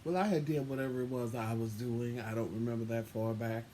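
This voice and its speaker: A man's voice: mid-range voice, steady tone, quick pace, dark tone. A man telling a personal story casually, in a dry, sassy, unimpressed way, acting out bits of remembered dialogue. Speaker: co-host telling a couple's story. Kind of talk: casual storytelling with acted-out quotes. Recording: noisy room ambience.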